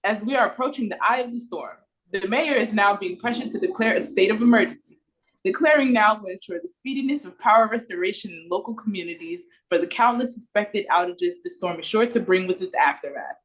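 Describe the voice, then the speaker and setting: A woman's voice: medium pitch, a little fast, lightly acted, low-quality recording. A woman playing a formal local radio newscaster in an audio play read over a video call. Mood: urgent, formal.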